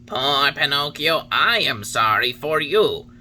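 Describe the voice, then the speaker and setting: A man voicing a wise old male talking cricket who lectures in solemn, drawn-out warnings. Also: a little high, expressive, bright, forceful tone, a little hiss or hum, voice pushed up, full character voice. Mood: scolding.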